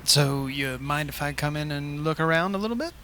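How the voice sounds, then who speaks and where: Man playing an easygoing, bright-voiced tourist. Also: fairly high pitch, brisk, a little hiss or hum. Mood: friendly, curious. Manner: casual, laid-back. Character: easygoing, clueless male city tourist on vacation. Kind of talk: comic radio sponsor skit.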